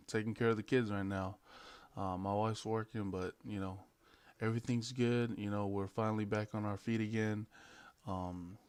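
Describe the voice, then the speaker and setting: Low, even-voiced man chatting casually. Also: no echo. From American Samoa and Hawaii. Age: late 20s. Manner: laid-back, hesitant, rambling. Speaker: vlogger. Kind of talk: casual unscripted vlog chat.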